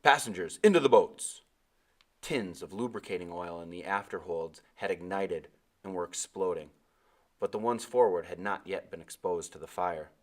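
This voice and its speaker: A male read-aloud narrator with a lowish voice. He delivers scripted first-person adventure fiction in an expressive storytelling style. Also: normal speed.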